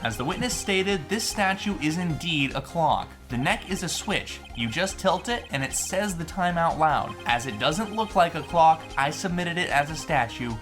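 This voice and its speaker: A man's voice: fairly high pitch, expressive, moderate pace, hardly any pauses, pitched below the performer's own voice, natural voice. A man voicing a smug, condescending prosecutor in a video game fan dub, formal and dismissive. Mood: smooth, smug. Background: game music.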